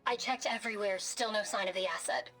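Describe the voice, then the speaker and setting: A woman's voice: mid-range voice, quick pace, a bit bright, soft background music, natural voice, low-quality recording. A woman voicing an impatient, complaining subordinate on a search in a video game. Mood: exasperated, alert.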